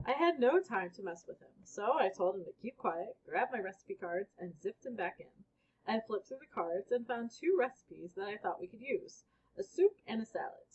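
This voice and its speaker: A woman with a husky voice reading a children's book aloud as a storybook reader. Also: mid-range voice, big pitch swings, moderate pace, darkish tone. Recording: dull, lo-fi recording.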